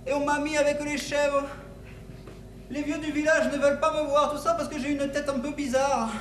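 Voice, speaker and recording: A man's voice: extremely high pitch, little pitch movement, moderate pace, fairly bright tone. A man voicing an odd-looking, lost shepherdess outcast in improvised stage comedy, plaintive and earnest. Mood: forlorn. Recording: distant mic in echoey noisy hall.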